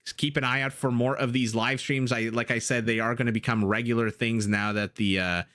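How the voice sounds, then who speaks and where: Raspy-voiced man casting a strategy game. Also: medium pitch. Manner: excitable, laughing, with frequent fillers. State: raspy, dry throat. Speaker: game caster. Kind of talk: live game commentary. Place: home room.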